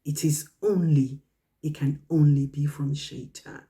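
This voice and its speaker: A woman's voice: deep voice, steady tone, unhurried, dark tone. A woman storyteller speaking to children, drawing moral and religious lessons in an earnest, emphatic, instructive manner.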